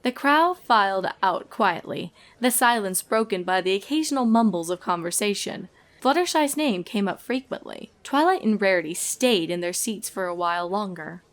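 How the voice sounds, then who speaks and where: A woman narrates a story from a script. Her voice sits at an average pitch, and she reads with dramatic expression, shaping each phrase like storybook telling. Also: lively intonation, moderate pace.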